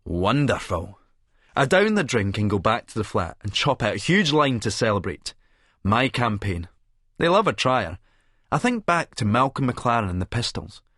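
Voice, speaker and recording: An actor with a Scottish accent narrating an audiobook as a cynical, vain Edinburgh publican, smug, sardonic and wordy. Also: male voice, mid-range voice, big pitch swings, normal speed, fairly bright tone, dry recording, studio quality. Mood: gloating. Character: cynical, vain male Edinburgh publican and schemer, smugly self-satisfied. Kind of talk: first-person audiobook narration in character.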